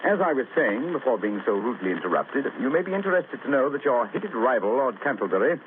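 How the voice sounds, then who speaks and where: Man acting haughty gentleman detective, sardonic, clipped, swooping intonation, old radio play, tinny recording. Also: medium pitch, sing-song, quick pace, slight background noise, close to an everyday voice. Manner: clipped, haughty, dryly sarcastic. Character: brisk, imperious, sardonic English gentleman detective.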